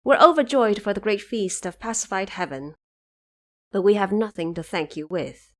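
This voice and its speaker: A woman's voice: mid-range voice, normal speed, fairly bright tone, close to an everyday voice. A woman voicing a regal, grateful queen goddess in an audio drama, with a formal, gracious manner. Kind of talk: audio drama character acting.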